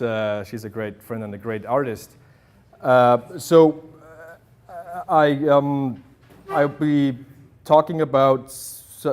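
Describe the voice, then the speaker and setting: A man's voice: medium pitch, darkish tone. A man giving an informal lecture to art students, talking hesitantly with many fillers and restarts. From Germany. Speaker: visiting artist lecturer. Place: classroom at an art academy.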